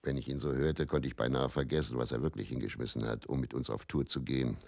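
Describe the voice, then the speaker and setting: A very deep-voiced, brooding faith healer. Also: male voice, fairly even intonation, vocal fry, a little mellow, dry recording, clean studio sound, exaggerated voice. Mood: wry, reminiscing. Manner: brooding, confessional, deliberate with rhetorical repetition. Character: weary, self-doubting male faith healer, whisky-drinking travelling showman. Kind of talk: German radio play dramatic monologue.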